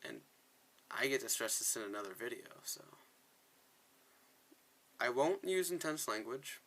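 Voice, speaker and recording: A man's voice: medium pitch, lively intonation, measured pace, a bit bright. A man giving casual, opinionated commentary as he plays a video game, often sounding exasperated. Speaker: gaming video creator, player. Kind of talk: casual game commentary with dialogue read aloud.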